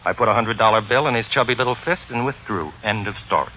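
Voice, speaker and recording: An actor playing a wry, pompous gentleman sleuth in a 1940s radio drama, with formal, genteel diction. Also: on the low side, quick pace, a little hiss or hum, poor audio. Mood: magnanimous. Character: wry, pompous gentleman sleuth narrating his own caper. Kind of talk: 1940s radio drama acting, first-person narration. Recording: 1940s radio recording with music behind.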